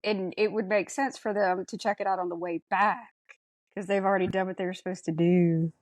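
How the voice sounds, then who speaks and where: A woman's voice: medium pitch, steady tone, brisk, no echo. A woman hosting a podcast, chatting casually in a blunt, sardonic manner. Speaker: podcast host. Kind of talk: casual chat.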